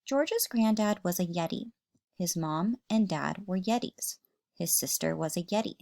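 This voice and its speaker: Animated woman reading a storybook, unhurried. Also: on the low side, gravelly, dry recording, clean studio sound. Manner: addresses young children. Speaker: teacher reading a storybook aloud. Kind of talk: expressive children's story reading.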